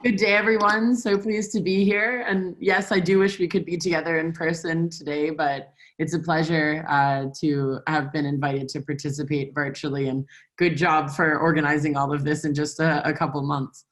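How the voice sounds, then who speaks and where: A woman's voice: low voice, level tone, normal speed. A woman joining a conference panel remotely, speaking in a composed, polite way. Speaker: keynote guest. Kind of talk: virtual panel remarks. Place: remote video call.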